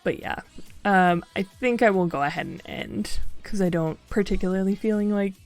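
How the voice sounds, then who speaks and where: A young woman hosting a game stream, talking loudly with friends in a chatty, laugh-filled way. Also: mid-range voice, normal speed, soft background music. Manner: loud, laughs a lot, chatty. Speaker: streamer host.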